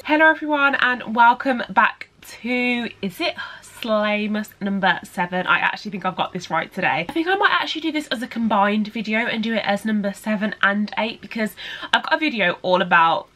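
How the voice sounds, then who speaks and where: A woman's voice: mid-range voice, animated, fairly quick, a bit bright. Chatty young woman, nasal with a cold. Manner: chatty, rambling, fillers like "like" and "whatnot". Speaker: beauty vlogger. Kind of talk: casual vlog chat to camera.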